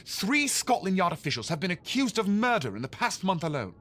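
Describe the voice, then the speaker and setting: An actor playing a harried, exasperated Victorian police inspector in an audio drama, gruff and formal. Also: male voice, sits high, big pitch swings, fairly quick, a bit bright, full character voice. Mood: frustrated, defensive.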